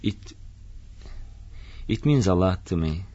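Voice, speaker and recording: A man's voice: sits low, animated, slow, warm tone, lo-fi sound. A young man from Turkey with a Turkish accent, speaking hesitantly in a studio interview. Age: young adult. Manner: hesitant, many fillers and restarts. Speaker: doctoral student interviewee. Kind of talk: casual interview.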